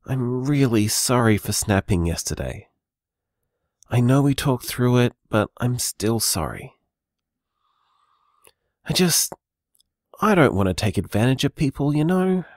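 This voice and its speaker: A man's voice: mid-range voice, big pitch swings, unhurried, pauses often, dry recording, studio quality. An actor playing a conscientious, anxious, loving man leaving hesitant, apologetic voicemails in an intimate audio drama. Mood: anxious, sorry. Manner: hesitant, earnest, sighing.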